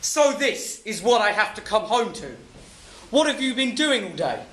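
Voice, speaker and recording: Guy playing a nasty sneering husband, high sharp voice, lots of room echo. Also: very animated, normal speed. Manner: sneering, taunting, explodes into shouting. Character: bullying, contemptuous husband, adult man. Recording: roomy echo.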